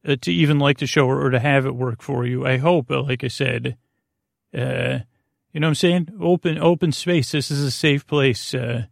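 Creaky gravelly guy doing a sleepy podcast ramble, keeps restarting and trailing off, soothing, dry close sound. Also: steady tone, no room sound. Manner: meandering, halting, trailing off, repeating himself; soothing, low-pressure. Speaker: podcast host. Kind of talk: rambling bedtime monologue to help listeners sleep.

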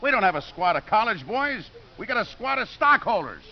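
A man's voice: high-pitched, very animated, fairly quick. An actor playing a brash, scheming football coach and devoted father in a wisecracking, sarcastic 1950s radio drama style. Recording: dull, noisy old radio recording.

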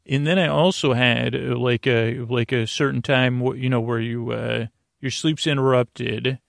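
Male sleep podcast host, mid-pitched with vocal fry, halting and meandering bedtime monologue. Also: steady tone, no room sound, studio quality. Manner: meandering, halting, repetitive, trailing off.

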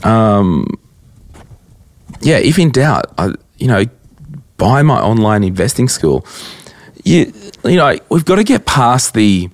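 Croaky low-voiced Australian man. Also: big pitch swings, slow, long pauses, no room sound. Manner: chatty, blunt, rambling with fillers. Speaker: podcast host. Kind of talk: casual solo Q&A podcast. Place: at a desk, indoors.

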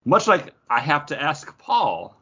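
A man's voice: a little high, very animated, slow, bright, forceful tone, breathy edge, muffled recording. A man co-hosting a comic book podcast in casual, conversational chat.

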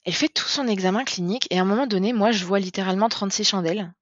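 A woman tells a personal story in a candid, halting way, often restarting her sentences. Her voice sits at an ordinary pitch for a woman. The recording is dry, with a slightly muffled, lo-fi tone. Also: no room sound. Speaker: guest. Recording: slightly dull, lo-fi sound.

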